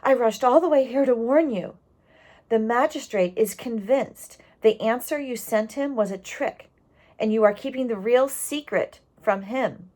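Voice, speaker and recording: A woman's voice: a little high, unhurried, darkish tone, voice pushed up. A woman voicing an alarmed neighbour in a storybook, bringing a warning in an urgent, gasping manner.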